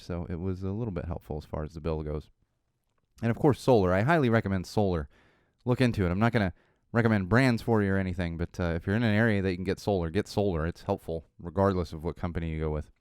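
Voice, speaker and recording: Low-voiced chatty podcast host, a bit hoarse. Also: male voice, quick pace, a little mellow, no room sound, clean studio sound. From Southern California. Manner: rambling, self-deprecating, full of fillers and restarts. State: slightly hoarse. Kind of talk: casual solo podcast chat.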